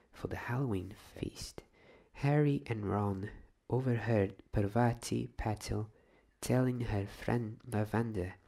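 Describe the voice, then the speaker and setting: Slow, creaky-voiced man reading ASMR bedtime stories. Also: on the low side, warm tone. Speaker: host reading a bedtime story. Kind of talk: soft-spoken ASMR storybook reading.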